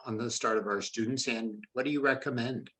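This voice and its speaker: A man hosting a faculty interview, friendly and a bit halting with restarts. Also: mid-range voice, fairly even intonation, bright, forceful tone. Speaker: interview host, business law lecturer.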